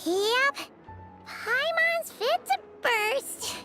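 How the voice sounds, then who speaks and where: A woman voicing a small, cheerful, childlike fairy companion with a squeaky voice in anime-style game dialogue. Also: lively intonation, very slow, loud music behind the voice, heavily acted. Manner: speaks of self in third person.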